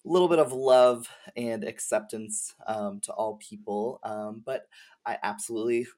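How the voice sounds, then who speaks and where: A man's voice: lowish voice, a little slow, a little mellow. Chatty man, casual podcast guest. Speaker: podcast guest, game streamer. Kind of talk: casual chat.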